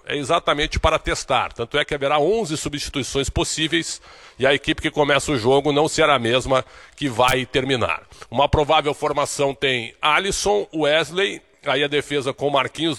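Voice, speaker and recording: Brisk Brazilian sports reporter, slightly high. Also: male voice. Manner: steady, informative reporting. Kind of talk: recorded field report.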